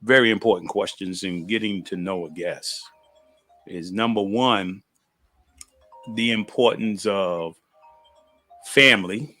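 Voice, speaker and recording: A man's voice: sits low, slow, pauses often, pushed and bright, faint music behind. A middle-aged man hosting a podcast, casually interviewing a guest, affirming him and chuckling along. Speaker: podcast host.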